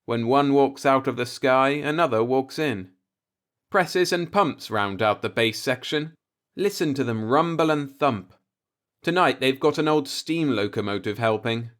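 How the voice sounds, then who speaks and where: Male narrator as disillusioned professor, mid-pitched, brooding and rhetorical, bitter philosophical audiobook monologue. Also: measured pace, fairly bright tone, lightly acted. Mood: sardonic wonder. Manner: musing, pointed, rhetorical monologue.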